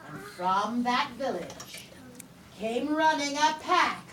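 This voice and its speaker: Slow high-voiced woman telling a children's story. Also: slight background noise. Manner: lively, playful, drawn-out emphasis. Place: classroom. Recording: echoey room from far off.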